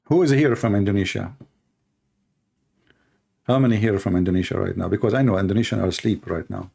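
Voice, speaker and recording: A man from the Middle East, a native Arabic speaker with an Arabic accent, hosting an informal live call-in show in a sarcastic, mocking manner with halting phrasing. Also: lowish voice, vocal fry, darkish tone, breathy edge. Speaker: host, religious apologist. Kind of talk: live call-in broadcast, rambling informal commentary.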